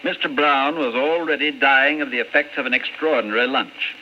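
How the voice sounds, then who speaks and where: Guy acting proper matter-of-fact doctor, old radio drama, mid pitch, dull sound with slight noise. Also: brisk, lightly acted. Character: formal, measured, matter-of-fact male physician. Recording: muffled, faintly noisy old radio recording.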